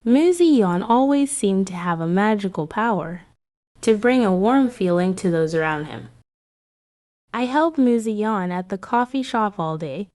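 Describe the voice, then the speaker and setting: A woman's voice: medium pitch, a little mellow, no echo. Woman narrating a romance story, dry close sound. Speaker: narrator of a romance comic dub. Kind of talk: scripted first-person story narration.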